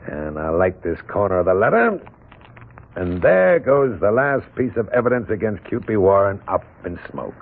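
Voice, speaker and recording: An actor playing a menacing, mock-polite gangster boss with a taunting manner in a 1940s radio drama. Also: male voice, lowish voice, big pitch swings, moderate pace. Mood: gloating. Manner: sardonic, mock-polite, threatening. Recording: muffled narrowband 1940s radio recording.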